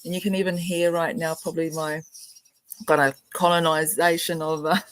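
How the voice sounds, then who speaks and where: An older woman from New Zealand giving a soft, meandering spiritual talk on a video call, laughing often. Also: low voice, level tone, moderate pace, rough recording. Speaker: spiritual grandmother representative.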